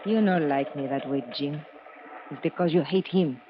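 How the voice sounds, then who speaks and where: A woman's voice: low-pitched, moderate pace, natural voice. An actress playing a wary, calculating young foreign woman with non-native phrasing in an old radio drama. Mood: wary, coaxing. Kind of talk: 1940s-50s radio drama acting. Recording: muffled old radio recording, light noise.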